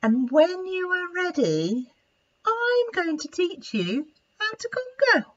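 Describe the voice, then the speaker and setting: A woman voicing an excitable, plaintive young male animal in a children's storybook. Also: very high voice, takes it slowly, lo-fi sound, pitched above the performer's own voice, exaggerated voice. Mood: gleeful. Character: bouncy young male animal, anxious then gleeful.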